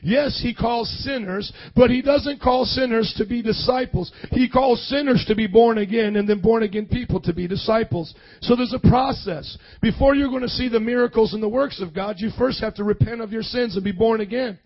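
A hoarse young man preaching a sermon, energetically calling out to the congregation for responses. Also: extremely high pitch, brisk, few pauses. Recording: dull lo-fi sound.

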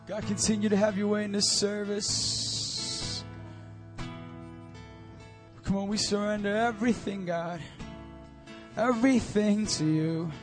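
A hoarse man leading worship, praying and exhorting a church congregation into a stage mic. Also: high voice, fairly even intonation, slow, pauses often, over music. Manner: urgent, exhorting calls to the crowd. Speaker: worship leader and preacher. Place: church service hall. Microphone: handheld stage mic.

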